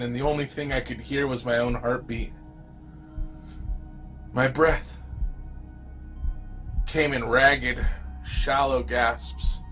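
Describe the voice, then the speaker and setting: A man playing a wounded, frightened survivor, telling his story in a dramatic, halting first-person horror audio drama delivery. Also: mid-range voice, fairly bright tone, pitched below the performer's own voice, low-quality recording. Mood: weary, fading. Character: terrified wounded male survivor of a zombie horde.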